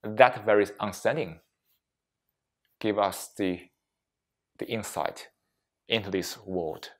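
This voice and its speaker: Low-voiced man teaching mindfulness in short phrases. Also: fairly bright tone. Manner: deliberate, short phrases with frequent pauses; non-native English phrasing with grammatical slips. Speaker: meditation teacher giving a workplace session. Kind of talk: slow, reflective mindfulness talk to a group.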